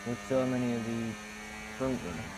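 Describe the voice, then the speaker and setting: A man's voice: mid-range voice, moderate pace. A man casually commentating as he plays a racing game and gives it voice commands. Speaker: gamer playing a racing video game. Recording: noisy mic with hum.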